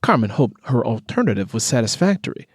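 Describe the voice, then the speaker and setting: A man's voice: mid-range voice, sing-song, a little fast, no echo, studio quality. A man reading scripted fiction as an audiobook narrator.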